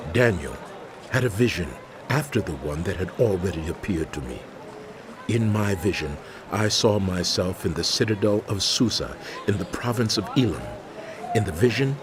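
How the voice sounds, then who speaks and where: A man's voice: low voice, background noise. A man playing a troubled prophet, recounting visions in solemn, dramatic scripture narration. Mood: solemn, awed.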